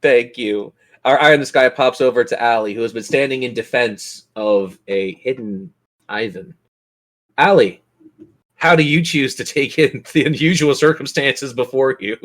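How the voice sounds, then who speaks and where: A man's voice: medium pitch, normal speed, fairly bright tone. A man acting as game master, narrating and bantering in a theatrical, courteous way.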